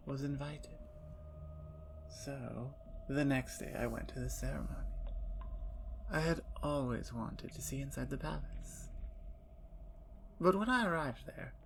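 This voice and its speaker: A woman voicing a playful, talkative female vampire in an ASMR roleplay, teasing and dropping into soft whispers. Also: sits low, creaky, slight background noise.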